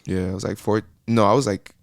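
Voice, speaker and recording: A man's voice: fairly low pitch, fairly even intonation, fast, no room sound, clean studio sound. A young man from Miami, a podcast guest talking about his music in a loose, rambling way with lots of fillers. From Miami, Florida. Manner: rambling, many fillers and restarts. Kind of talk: casual interview chat.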